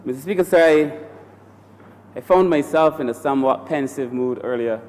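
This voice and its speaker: A man's voice: medium pitch, normal speed, darkish tone. A man with a Barbadian accent, a member of parliament, opening a formal, courteous speech in debate. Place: parliament chamber. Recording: long hall reverb with faint hiss.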